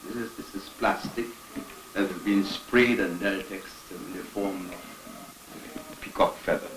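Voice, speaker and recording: Man making feathers, low voice, halting explanations, echoey noisy room. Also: fairly even intonation. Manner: hesitant, halting, explaining as he works. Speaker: costume maker. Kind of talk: casual interview. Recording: echoey room with background noise.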